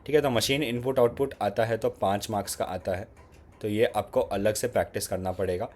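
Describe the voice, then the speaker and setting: A lower-pitched man with a slightly sore throat talks through exam strategy in a relaxed, conversational way. He keeps switching between Hindi and English phrases in an Indian English accent. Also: brisk. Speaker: exam prep YouTuber. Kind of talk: casual exam strategy talk.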